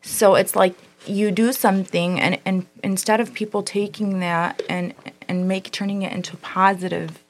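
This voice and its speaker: A woman's voice: medium pitch, steady tone, normal speed, a little hiss or hum. A woman from Michigan, a guest in a casual interview, speaking hesitantly and reflectively with many ums and restarts. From Dearborn, Michigan.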